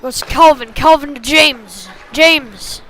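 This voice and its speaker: A woman calling a youth hockey game live in short, clipped phrases, getting excitable on big plays. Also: high-pitched, slow pace, a little hiss or hum. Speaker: play-by-play hockey commentator. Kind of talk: live sports commentary.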